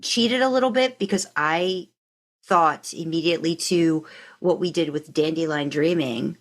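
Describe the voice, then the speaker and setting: Woman, slightly low voice, podcast host, casual conversational style, rambling, thinking aloud. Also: a little slow.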